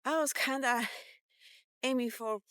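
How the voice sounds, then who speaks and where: Halting middle-aged woman, non-native Irish-British accented English. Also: a little high, fairly bright tone, clean tone, no room sound, clean studio sound. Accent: non-native English with Irish and British influences. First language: not English. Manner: halting, thinking aloud in short fragments. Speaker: vlogger. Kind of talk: casual solo vlog monologue.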